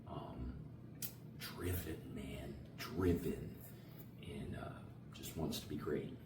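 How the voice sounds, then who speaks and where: A man who coaches basketball answers interview questions in a loose, energetic way, full of fillers and slang. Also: a bass voice. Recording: echoey room, far from mic, with background noise.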